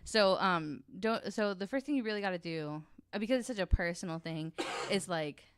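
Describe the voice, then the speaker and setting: A woman's voice: medium pitch, moderate pace, background noise. A woman chatting casually as a podcast panelist, blunt and sweary, rambling a little and poking fun at herself.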